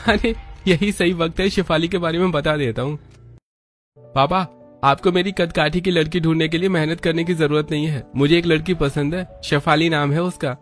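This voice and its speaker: A man's voice: a little high, fairly even intonation, moderate pace, faint music behind, close to an everyday voice. A man voicing a stubborn, eager young man in love in a family cartoon, with an emphatic, pleading manner. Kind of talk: Hindi family cartoon dubbing.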